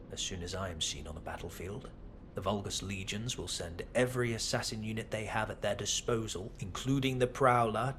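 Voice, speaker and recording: Low-voiced, calculating male scientist, played by an actor. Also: a bit bright, a little hiss or hum, close to an everyday voice. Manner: measured, formal, breathy in places. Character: calculating male scientist official, composed and condescending. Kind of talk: dramatic game dialogue.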